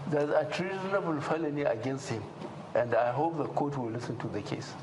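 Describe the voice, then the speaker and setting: A man answers in an interview with indignant, pointed rhetorical questions. His voice sits slightly high. The archival recording carries clear background noise. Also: a little fast.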